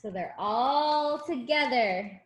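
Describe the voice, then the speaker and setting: High-pitched, animated woman reading to children. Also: slow pace, roomy sound. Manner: expressive, simple phrasing for small children. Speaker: teacher reading a picture book to young students. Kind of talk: children's read-aloud with asides to the listeners.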